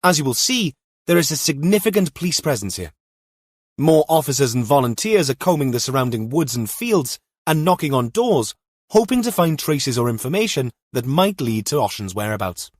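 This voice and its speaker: A man's voice: mid-range voice, sing-song, normal speed, dry recording, studio quality, pitched above the performer's own voice. A man voicing an earnest male television news correspondent giving an urgent, formal live report in an audiobook.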